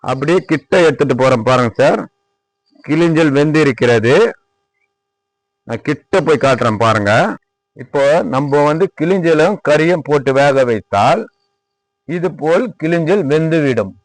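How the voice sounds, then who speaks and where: A man with a Tamil Nadu accent gives an informal product tour and sales pitch, repeating himself as he demonstrates and politely addresses the viewer. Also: animated, unhurried, long pauses, low-quality recording. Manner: repetitive, demonstrative, addressing viewer respectfully as sir. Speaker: lime manufacturer presenting own workshop. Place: at a lime kiln worksite.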